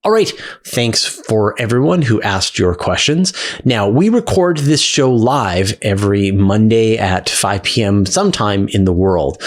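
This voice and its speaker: A man hosting a science Q&A show, explaining things in a casual, conversational and enthusiastic way. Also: medium pitch. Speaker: host of a space Q&A show. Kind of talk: casual explanatory talk to camera.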